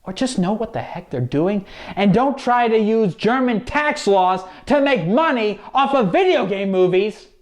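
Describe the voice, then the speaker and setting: A man's voice: high voice, animated, unhurried, few pauses. A man co-hosting a show, giving unscripted opinion commentary in an emphatic, earnest way. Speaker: show co-host.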